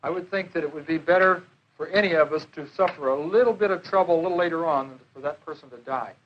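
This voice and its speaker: A man's voice: a little high, fast, muffled recording, slight background noise. A man giving an informal classroom slide lecture as a police drug educator, on a 1970s educational film soundtrack. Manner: questioning, repeats and confirms answers.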